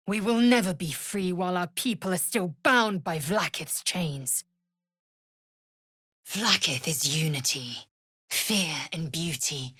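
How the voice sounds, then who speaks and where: A fierce alien warrior woman from a fantasy game, with a low, very raspy voice. She is imperious and disdainful, and her formal, clipped lines swing widely in pitch. The sound is dry and close. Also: fairly bright tone, no echo, heavily acted. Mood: reverent. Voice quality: husky, raspy. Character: fierce, haughty githyanki warrior woman, blunt and devout. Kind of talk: video game character voice lines.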